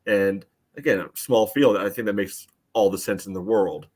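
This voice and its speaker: A fairly low-pitched man co-hosts a sports podcast. He explains soccer tactics in casual talk, qualifying his points with asides as he goes. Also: normal speed, a little mellow, breathy edge.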